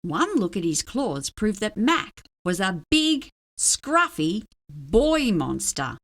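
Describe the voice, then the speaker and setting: A woman reading a children's storybook aloud in an expressive narrating style. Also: mid-range voice, takes it slowly. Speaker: storybook reader.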